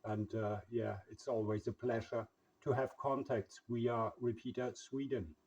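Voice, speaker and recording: A man with a husky voice, chatting casually over radio in halting, English. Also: mid-range voice, steady tone, darkish tone. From Germany. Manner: halting, non-native English phrasing. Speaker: amateur radio operator and video maker. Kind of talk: casual radio contact chat. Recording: slightly dull, lo-fi sound.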